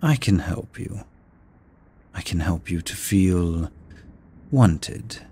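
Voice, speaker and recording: A man's voice: low-pitched, a little hiss or hum, heavily acted. A man voicing a seductive, courteous immortal incubus demon in a roleplay audio drama, speaking to the listener in a silky, theatrical way. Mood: seductive, smug. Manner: slow, silky, theatrical, courteous with drawn-out words. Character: seductive, teasing male incubus demon, immortal deal-maker.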